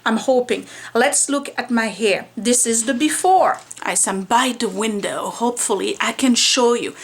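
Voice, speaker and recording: A woman's voice: on the high side, moderate pace. Chatty woman in her forties vlogging. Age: 40s. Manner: chatty and candid, rambling with fillers. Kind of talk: casual home hair-care vlog. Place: home room by a window.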